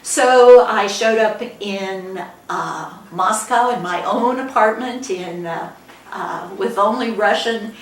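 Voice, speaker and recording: Older American woman, author giving an informal talk, halting delivery, recorded at a distance with background noise. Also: mid-range voice. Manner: halting, with frequent pauses mid-sentence. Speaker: guest author and former newspaper editor. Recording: echoey room, distant mic, background noise.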